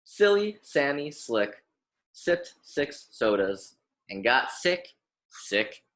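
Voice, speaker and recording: A man's voice: mid-range voice, sing-song, drawn-out words, fairly bright tone. A young American man reading a picture book aloud as a lesson for children, repeating and emphasising words in an instructive way. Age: young adult.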